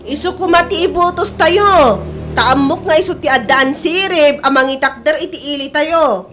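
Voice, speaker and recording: A woman's voice: high voice, normal speed, fairly bright tone, faint music behind. A woman acting an eager, pushy campaign supporter in an Ilocano comedy radio drama, speaking with declamatory emphasis. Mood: fervent campaigning.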